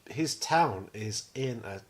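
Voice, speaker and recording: A man's voice: mid-range voice, expressive, slow. A young British man co-hosting a podcast, talking casually in a rambling, sweary way. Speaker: podcast co-host. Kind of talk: casual chat.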